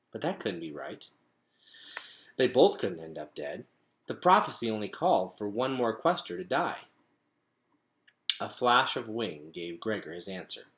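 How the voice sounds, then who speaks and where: A man's voice: fairly low pitch, fairly bright tone. A man reading a storybook aloud as a storyteller, with dramatic, emphatic phrasing.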